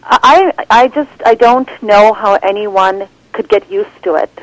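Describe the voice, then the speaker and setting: A woman film director answering interview questions in an animated, rambling way, full of restarts and "like". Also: medium pitch, unhurried, no echo, low-quality recording. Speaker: documentary director, guest.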